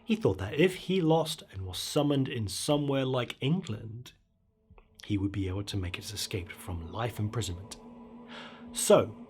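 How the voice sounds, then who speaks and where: A man's voice: medium pitch, slightly rough. A man telling a scripted horror story in a theatrical, suspenseful way. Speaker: horror story narrator and channel host. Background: dark ambient music bed.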